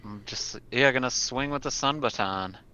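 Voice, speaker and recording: A man's voice: mid-range voice, moderate pace, highs cut off, crowd noise. A man chatting casually as a player in a tabletop roleplaying game, with a wry, self-deprecating, sweary way of talking.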